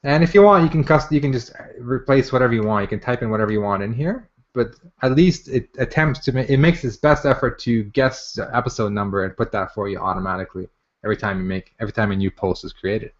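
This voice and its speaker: A man giving a casual live software demo in an informal, enthusiastic way, chatting with online viewers as he presents. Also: medium pitch, fast talker. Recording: dull, muffled lo-fi online audio.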